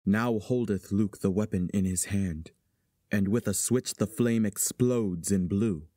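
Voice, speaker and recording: A man playing a solemn chorus, deliberately narrating a Shakespearean drama in verse. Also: lowish voice, warm tone, dry recording, studio quality, natural voice.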